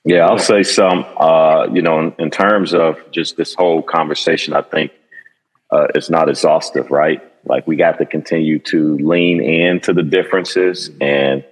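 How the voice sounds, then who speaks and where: A man on a panel, speaking in a measured, thoughtful way with frequent pauses. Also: rumbling low, steady tone, long reverb tail, low-quality recording. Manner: measured, thoughtful, many fillers. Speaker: educator panelist. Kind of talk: panel discussion.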